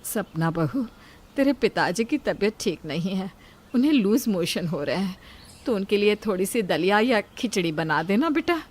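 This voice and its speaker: A woman's voice: medium pitch, sing-song, moderate pace, voice pushed down. A woman voicing a long-suffering, pleading old mother-in-law in a family story. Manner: meek, pleading.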